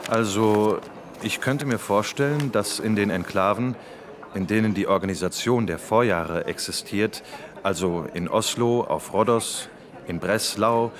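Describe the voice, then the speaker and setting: This is a man acting a sober doctor in a radio drama, arguing skeptically over coffee. His voice sits on the low side. The chatter of a café crowd surrounds him. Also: unhurried, close to an everyday voice. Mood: sober, speculating. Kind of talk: radio play dialogue. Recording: busy café crowd behind.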